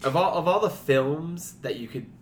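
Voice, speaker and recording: A man's voice: on the high side, expressive, normal speed, slight background noise. A man co-hosting a casual podcast, giving blunt, grumbling, profane opinions. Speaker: podcast co-host.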